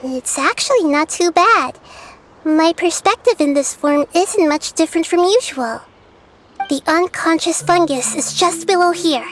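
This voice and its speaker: A woman voicing a gentle young girl goddess of nature in a video game, who speaks in a calm, thoughtful and earnest way. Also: very high-pitched, a little slow, noisy background. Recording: game soundtrack playing loudly behind. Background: game soundtrack music.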